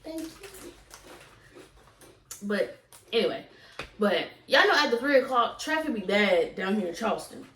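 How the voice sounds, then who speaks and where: A woman's voice: medium pitch, lively intonation, moderate pace, pauses often, bright, forceful tone, a little hiss or hum. A young adult woman with a Southern US accent telling a casual, repetitive story to viewers as a vlogger. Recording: roomy echo, distant from mic.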